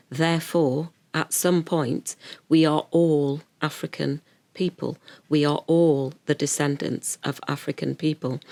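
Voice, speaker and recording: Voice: mid-range voice, dry recording. Educator with a mid-range voice, earnest, emphatic repetition, dry close sound. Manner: earnest, repeats words for emphasis. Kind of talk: reflective interview talk.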